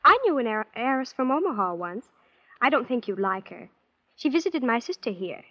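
A breezily callous young rich girl in an old radio play. Her voice is high and girlish, by turns airy and petulant. It sounds close and dry on a dull vintage recording. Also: sing-song, normal speed, sharp tone, no room sound, full character voice. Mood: coyly dreamy. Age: young adult. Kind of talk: 1940s-50s radio drama acting.

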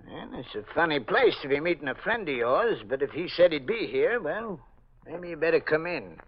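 Grumbling American lab watchman, folksy, high voice, very animated, old radio thriller. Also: normal speed. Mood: chatty, eerie. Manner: folksy, grumbling, uneducated speech. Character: gruff, talkative male night watchman at a morgue-like lab. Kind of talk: 1940s radio mystery acting. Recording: muffled old radio recording.

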